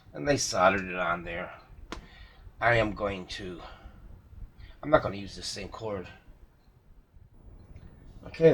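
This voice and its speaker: A man's voice: lowish voice, a little hiss or hum. A man talking casually through a radio repair at his workbench, rambling and thinking aloud with fillers and self-corrections. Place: home workshop.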